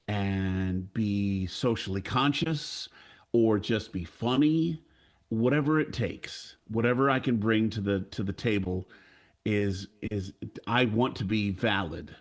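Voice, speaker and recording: A man of sixty-two speaking earnestly and off the cuff on a panel, haltingly, often repeating phrases. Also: on the low side. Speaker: audio drama creator on a panel. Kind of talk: earnest unscripted panel talk.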